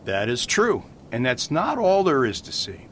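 A man playing a gentle, shrewd priest detective in a radio mystery, patiently explaining with amusement. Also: huge pitch swings, unhurried. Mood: thoughtful, amused. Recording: faint rumbling background noise.

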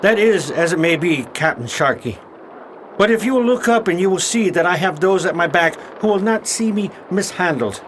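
An actor playing a defiant, grumbling pirate crewman with a declamatory, accusing delivery in an audio drama. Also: moderate pace. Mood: aggrieved, defiant. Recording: noisy audio with steady rumble.

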